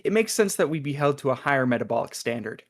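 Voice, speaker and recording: A man's voice: medium pitch, lively intonation, fast, close to an everyday voice. A man playing an easygoing, teasing agent with a wry, placating manner, in an audio drama table read. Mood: friendly placating. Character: easygoing, chummy male agent, teasing coworker.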